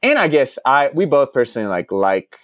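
A man's voice: mid-range voice, sing-song, a little fast, dry recording, studio quality. A man from Dallas, a guest in a casual interview, rambling with lots of "like" and restarts. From United States (Dallas). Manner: rambling, heavy use of "like" and restarts. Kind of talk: casual conversation.

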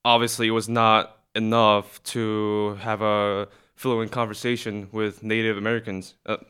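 A man's voice: lowish voice, level tone, measured pace, fairly bright tone, clear, resonant tone. A young man from South Korea, a guest chatting casually, hesitant with many restarts. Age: young adult. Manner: hesitant, many restarts and fillers, laughs often. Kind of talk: casual chat.

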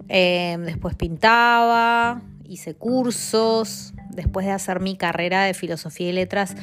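A woman's voice: lowish voice, fairly bright tone. A woman from Mendoza, Argentina, in her thirties, hosting a casual podcast monologue with an Argentine accent, chatting with lots of fillers and tag questions. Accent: Argentine Spanish. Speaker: podcast host.

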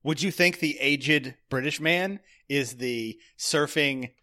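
Joking man with a fairly high voice. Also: slow. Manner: joking, deadpan jabs. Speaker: podcast co-host. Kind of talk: casual chat.

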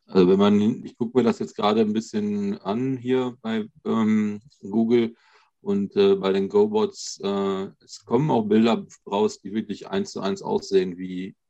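A man's voice: fairly low pitch, little pitch movement, unhurried, dark tone, dry recording. A man chatting casually as a podcast panellist. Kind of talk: casual chat. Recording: slightly dull, lo-fi audio.

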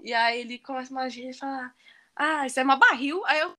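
A young woman with a São Paulo accent and a strong R, chatting casually and telling little stories in an animated, slangy way. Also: high-pitched, fast talker. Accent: São Paulo Brazilian Portuguese with strong R. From São Paulo state, Brazil. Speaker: conversation partner. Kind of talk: casual chat.

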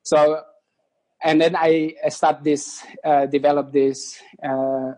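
A young man from East Timor, a guest on an interview, speaking as an in halting phrases with many restarts. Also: a little high, level tone, a little mellow, poor audio. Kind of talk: casual interview.

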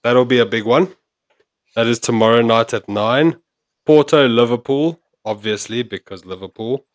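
A man's voice: mid-range voice, normal speed. A man hosting a casual football podcast, running through the fixtures in an easygoing way. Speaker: podcast host.

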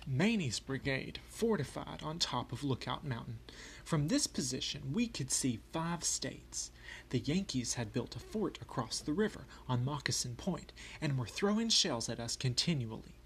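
Guy doing a southern rebel soldier telling folksy war stories from a memoir, medium pitch, noisy audio. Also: lively intonation, moderate pace, a bit bright. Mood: wry reminiscing. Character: folksy male Confederate private recounting war memories. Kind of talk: first-person storytelling from a memoir.

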